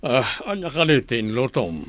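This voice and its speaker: A man's voice: mid-range voice, very animated, moderate pace, a bit bright, no room sound. An actor playing an earnest, talkative family man in a radio drama, with an emphatic conversational delivery. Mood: curious, approving. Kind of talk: Ilocano radio drama acting.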